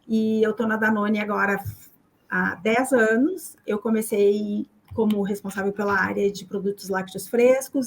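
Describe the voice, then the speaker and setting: A woman introduces herself in an upbeat, chatty manner at a middling pitch, pausing on little fillers. A faint noise lies under her voice. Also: low-quality recording. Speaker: packaging engineer, guest. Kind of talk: casual panel talk.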